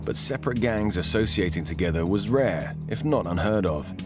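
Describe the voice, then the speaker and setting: Male narrator, low register, scripted documentary read, measured dramatic storytelling, clear music bed. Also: animated.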